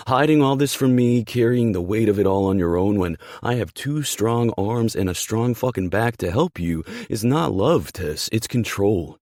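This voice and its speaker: A man playing a protective, stubborn young athlete in an audiobook, earnest and emphatic with a husky voice. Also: sits low, darkish tone, dry recording, studio quality, exaggerated voice. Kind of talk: audiobook character dialogue.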